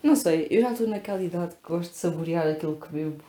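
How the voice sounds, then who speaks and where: A woman's voice: fairly low pitch, fast. A woman with a European Portuguese accent, co-hosting a casual chat and telling stories with lots of fillers. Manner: chatty, animated storytelling with lots of fillers. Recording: echoey room.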